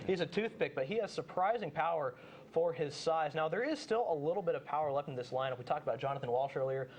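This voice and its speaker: A man's voice: fairly high pitch, slight background noise. A young man hosting a sports show, giving preview commentary and now and then stumbling over his phrasing. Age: young adult.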